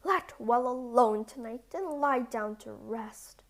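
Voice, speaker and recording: A woman's voice: on the high side, takes it slowly. A woman voicing a huge, foolish, easily scared male giant in a storybook, with a growling, blustering manner. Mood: terrified.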